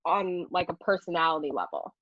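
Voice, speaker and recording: A woman's voice: lowish voice, brisk, dry recording. Dull-sounding recording of a playful woman interviewer. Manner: giggly, playful. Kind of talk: casual interview chat.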